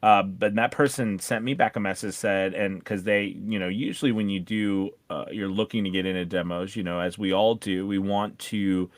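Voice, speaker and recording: A man's voice: on the low side, fairly even intonation, moderate pace, hardly any pauses, no room sound. A man who is a voice actor co-hosting a podcast, chatting casually and rambling, with playful repetition of words. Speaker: podcast co-host. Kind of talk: casual co-hosted chat.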